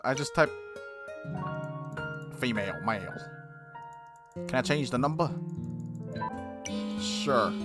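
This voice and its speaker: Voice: medium pitch, lively intonation, slow pace, long pauses. Gamer doing a chatty let's play, voice goes up and down a lot, music playing behind. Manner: informal, giggly, frequent "like", playful asides. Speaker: gaming video host. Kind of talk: casual gameplay commentary with read-aloud visual novel text.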